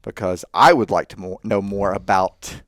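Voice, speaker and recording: A relaxed man hosts a podcast. His voice sits low, and the studio sound is dry and close. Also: normal speed, no echo. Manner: relaxed, friendly, says y'all. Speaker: podcast host. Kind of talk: casual podcast chat. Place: dark studio set with leather chairs.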